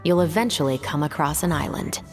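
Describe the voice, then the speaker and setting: Low-voiced, composed female investigator. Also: lively intonation, faint music behind. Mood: measured, thoughtful. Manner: measured, reasoning, articulate. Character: composed, serious female investigator, a patrol captain. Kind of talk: video game voice acting.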